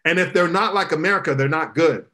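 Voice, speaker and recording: Emphatic middle-aged pastor on a video call. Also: male voice, on the high side, quick pace, pushed and bright. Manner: emphatic preaching cadence, gestures broadly. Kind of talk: animated panel discussion. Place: home room, indoors. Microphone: video call, webcam from below.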